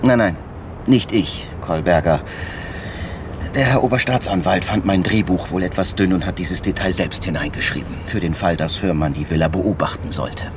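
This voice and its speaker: Radio crime drama: actor as shrewd, unorthodox male inspector, lowish voice, insinuating, politely needling, over clear street noise. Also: moderate pace, natural voice. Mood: brisk, explaining. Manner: insinuating, mock-deferential, argues step by step. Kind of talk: naturalistic German radio crime drama acting.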